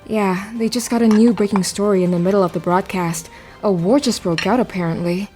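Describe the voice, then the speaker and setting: A woman playing a gentle, reassuring middle-aged mother in an audio drama. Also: medium pitch, a little fast, faint music behind, natural voice. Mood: casual, kindly.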